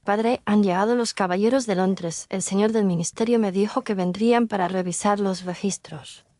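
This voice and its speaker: A woman's voice: medium pitch, moderate pace, mellow, no room sound, studio quality, lightly acted. A woman playing a modest, alert young daughter of a lighthouse keeper in an audio story, respectful and precise. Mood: respectful, composed. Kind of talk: audio story character dialogue.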